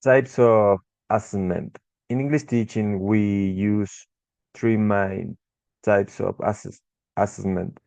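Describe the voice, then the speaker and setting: A young man with an Ecuadorian Spanish accent gives a short class presentation as an English tutor, pausing often with fillers and restarting words. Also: fairly low pitch, fairly even intonation, vocal fry, a little mellow, dry recording. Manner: halting, frequent restarts and fillers.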